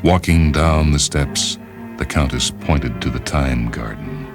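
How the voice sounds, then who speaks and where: A man reading literary fiction as a narrator, in a measured, deliberate and solemn dramatic style. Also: extremely low pitch, expressive. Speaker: narrator, short story reader. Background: background music.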